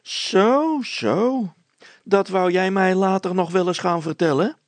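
A man's voice: high-pitched, slow pace, dry recording, voice pushed up. A man voicing a suspicious middle-aged wife and mother in a story, scornful and interrogating.

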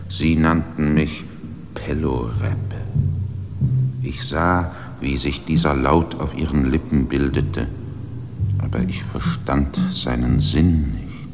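An ancient, brooding slate mountain, deep and gravelly. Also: male voice, full character voice. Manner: slow, solemn, half-whispered. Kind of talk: poetic radio play monologue. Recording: long reverb under clear music.

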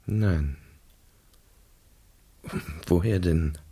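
Very husky, resentful put-upon secretary. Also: male voice, low-pitched, very slow, warm tone, full character voice. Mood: polite, evasive. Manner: hesitant, guarded, bitter under his politeness. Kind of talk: radio play acting.

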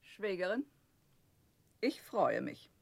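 Severe, haughty spinster, dry sound. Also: female voice, mid-range voice, big pitch swings, takes it slowly, vocal fry, slightly rough, no echo, full character voice. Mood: curt, disapproving. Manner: curt, stiff, disapproving. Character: severe, haughty, middle-aged spinster sister-in-law. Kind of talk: German radio drama acting, 1960s.

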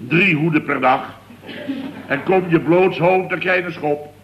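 An actor playing a flustered, indignant middle-aged building boss, telling things in a long-winded, exasperated way in a comic radio serial. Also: male voice, fairly high pitch, a little slow, lo-fi sound. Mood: indignant, pompous. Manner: long-winded, exasperated storytelling peppered with verbal tags. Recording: old radio recording with faint noise.

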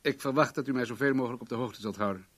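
An actor in a Dutch radio drama playing an imperious, self-satisfied millionaire with a grand, commanding manner. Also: male voice, medium pitch, very fast, natural voice.

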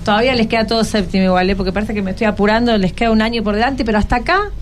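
A woman's voice: medium pitch, racing. A woman with an Argentine Rioplatense accent hosting a casual interview, encouraging her guests with many questions. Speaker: radio host interviewing schoolchildren. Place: radio programme with schoolchildren present. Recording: noisy live radio with clear ambient noise.

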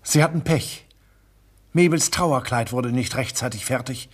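A suave murderous collector in a radio drama. The voice is very husky and sits at a middle pitch. He speaks in a smooth, mocking, quietly menacing way. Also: unhurried. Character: suave murderous male art collector, villain.

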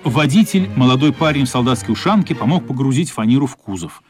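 A man narrates a story as part of a radio play. His voice sits at a middling to slightly higher pitch, and music plays clearly behind him. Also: expressive, fast talker.

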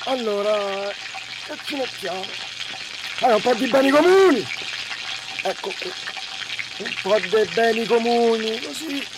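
Someone doing a fussy cooking show spoof, slow n sing-songy, loud pan sizzle and water behind. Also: mid-range voice, animated, natural voice. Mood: playful, savoring. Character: fussy cook frying up a recipe of buzzwords. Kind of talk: parody cooking show sketch. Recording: loud frying and kitchen water noise.

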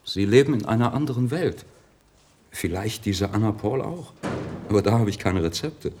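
Man playing a lowish-voiced skeptical doctor. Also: lively intonation, normal speed, background noise, lightly acted. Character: skeptical, down-to-earth male doctor. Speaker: actor in a radio play. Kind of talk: German radio drama acting.

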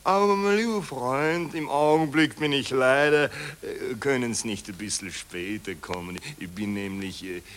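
An actor playing a vain, dithering Austrian general in a satirical radio play, with a hemming, stammering, pompous delivery. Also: male voice, medium pitch, a little slow, few pauses, fairly bright tone, a little hiss or hum, close to an everyday voice. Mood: flustered, confiding. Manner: pompous, drawling, falsely modest. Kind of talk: satirical radio drama acting.

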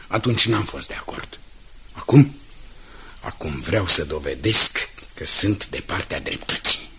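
An actor playing a genial, calculating company director in a radio drama, hearty and self-assured in manner. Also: male voice, deep voice, lively intonation, sharp tone, slightly rough, exaggerated voice. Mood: calculating, pleased. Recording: noisy 1980s radio drama tape.

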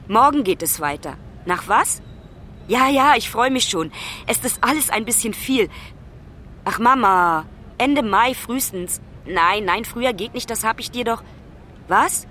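High-voiced daughter on the phone. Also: female voice, a bit bright, a little hiss or hum. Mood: evasive, concerned. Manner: halting, conversational, breaking off mid-sentence. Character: travelling daughter, a musician, anxiously phoning her mother. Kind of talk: naturalistic German radio drama acting.

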